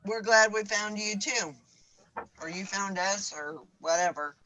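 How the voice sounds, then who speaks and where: A woman hosts a casual group chat with a bright, forward tone and a slight hoarseness. She talks in an easy, chatty way, stretching her fillers. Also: medium pitch, measured pace, poor audio. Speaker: show host.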